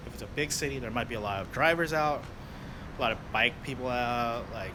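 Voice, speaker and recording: A man's voice: medium pitch, brisk. A young American man, a delivery driver, chatting loosely with livestream viewers, full of fillers and restarts. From United States. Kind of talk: casual livestream chat answering viewers. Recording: noisy outdoor street background. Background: traffic and street noise.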